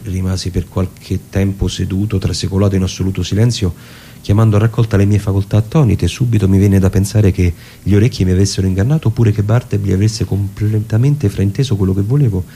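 A man narrating a literary story for radio in a deliberate, theatrical way, with short measured phrases. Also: deep voice, steady tone, warm tone, slight background noise. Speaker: narrator and performer. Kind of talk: dramatic literary reading for radio with music. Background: music with plucked guitar.

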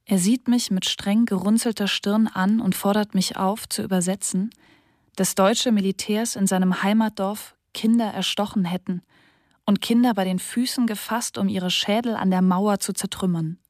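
Female diary reader, measured and sober, dead-dry booth. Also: mid-range voice, steady tone, a little mellow, no echo. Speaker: narrator reading a diary. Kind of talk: literary diary reading for radio.

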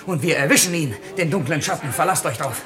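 An actor playing a zealous, suspicious night-patrol police lieutenant in a 1950s German radio drama, clipped and menacing. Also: male voice, fairly high pitch, fast, crowd noise, lightly acted. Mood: suspicious, grim.

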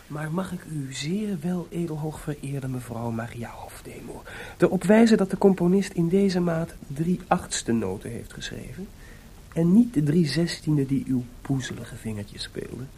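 A man's voice: on the high side, expressive, normal speed, mellow, a little hiss or hum, lightly acted. A man acting in a Dutch radio play as a playful, flirtatious, restless composer with a teasing, mock-formal manner.

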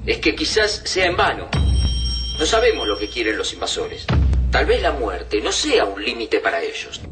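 An Argentine actor playing a grave, emphatic scientist who argues for resistance in a radio drama about alien invaders. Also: male voice, medium pitch, wildly swooping pitch, moderate pace, loud music behind the voice. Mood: doubtful.

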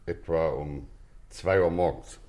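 Deep-voiced, clinical police doctor. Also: male voice, steady tone, slow pace, warm tone. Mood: matter-of-fact. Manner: clipped, clinical, matter-of-fact. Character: sober, precise male police doctor reporting findings. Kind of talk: German radio play acting.

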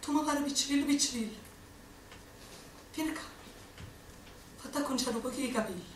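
A woman's voice: high voice, moderate pace, a little hiss or hum, close to an everyday voice. A woman playing a fierce young Neapolitan partisan. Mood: tender hushed. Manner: pleading, emotional, dialect-heavy delivery. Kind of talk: radio drama acting. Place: radio drama studio. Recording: roomy, distant mic, music underneath.

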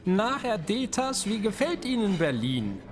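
High, swooping chatty barfly man. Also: sing-song, measured pace. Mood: convivial. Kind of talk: radio play acting. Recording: loud music behind, clinking.

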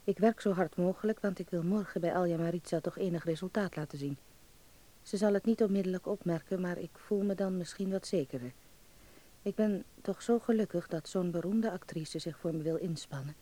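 Radio play narrator: hopeful young performer, soft mellow tone, confiding letter-reading style. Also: male voice, high-pitched, fairly quick, rough recording. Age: young adult. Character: hopeful young male actor narrating his letter home. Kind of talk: dramatised first-person letter narration.

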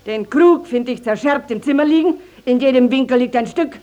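A woman's voice: high-pitched, a little fast, a little mellow, highs cut off, natural voice. An actress playing a proud, indignant middle-aged village mother in a radio play, telling her grievance emphatically and dramatically. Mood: outraged, dramatic. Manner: emphatic, declamatory, dramatic storytelling. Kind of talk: classic stage-style radio drama acting.

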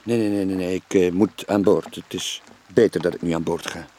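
An actor playing a weary, guilt-ridden middle-aged sailor with a Flemish accent, in a brooding radio drama style with a husky, breathy voice. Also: male voice, low-pitched, fairly even intonation, mellow, slight background noise, close to an everyday voice. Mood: uneasy, reluctant. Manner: brooding, halting confession. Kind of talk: 1980s Dutch-language radio drama acting.

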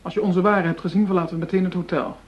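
An actress playing a bold, seductive woman crook in a radio drama, with a cool, teasing and brisk manner. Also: fairly low pitch, steady tone, breathy edge. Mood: brisk, wary. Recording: dull lo-fi radio drama recording.

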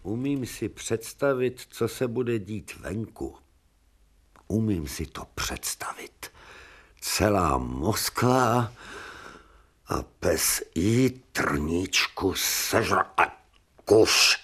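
An actor playing an imperious, exasperated older professor of surgery in a Czech radio drama, theatrical, barking questions and muttering. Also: male voice, on the low side, big pitch swings, a bit bright, husky, exaggerated voice. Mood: weary, muttering.

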